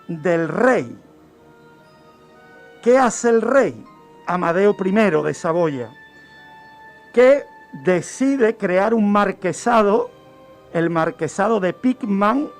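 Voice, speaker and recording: A man telling historical events on the radio in a didactic way, asking questions and answering them himself. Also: high-pitched. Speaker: guest criminologist telling crime history. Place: radio studio. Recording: music bed behind the voice. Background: background music.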